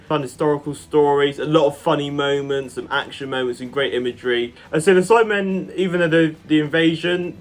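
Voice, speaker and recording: A man's voice: mid-range voice, fairly bright tone. A man giving a casual, enthusiastic review to his viewers as a channel host, chatty and loosely phrased with lots of "kind of" and "like".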